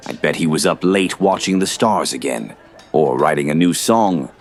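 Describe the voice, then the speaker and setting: A man's voice: a bass voice, animated, moderate pace, soft background music. A man playing a teasing, good-natured young friend in a fantasy audio drama. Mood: teasing, amused.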